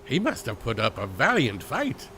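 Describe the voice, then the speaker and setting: An actor playing a fond, fussy inventor with a German accent in an audio drama. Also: male voice, medium pitch, wildly swooping pitch, moderate pace, pushed and bright, a little hiss or hum. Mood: fond, concerned.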